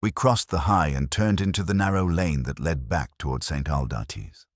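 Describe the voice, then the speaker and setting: A man playing a brooding English police detective, narrating a mystery drama in a measured, literary and melancholy way. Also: a bass voice, no room sound, clean studio sound. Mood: brooding, grave. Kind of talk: scripted first-person mystery narration.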